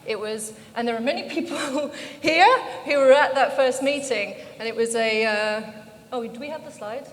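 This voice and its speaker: A woman's voice: a little high, normal speed. A middle-aged British woman speaking on a panel, telling her story in a conversational way. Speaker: panel speaker, founder of an organization. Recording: echoey hall, background noise.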